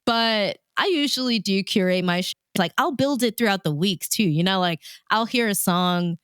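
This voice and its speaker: A woman's voice: mid-range voice, moderate pace, a bit bright, clear, resonant tone, no room sound, clean studio sound. A woman chatting casually and telling stories in the manner of a radio DJ, animatedly acting out her own thoughts as she goes. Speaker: radio DJ and host, interview guest. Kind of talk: casual conversational storytelling.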